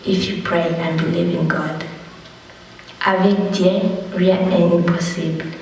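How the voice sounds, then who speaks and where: A young refugee reading a prepared account and a poem in a slow, steady way. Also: medium pitch, a little hiss or hum. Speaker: young refugee telling own story. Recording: distant voice in a reverberant hall.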